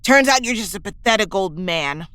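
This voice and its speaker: An actress playing a fiery, outspoken young hero in an audio drama, with a blunt manner. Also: female voice, medium pitch, animated, normal speed, clean tone, no room sound, close to an everyday voice. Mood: angry, indignant. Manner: blunt, forceful.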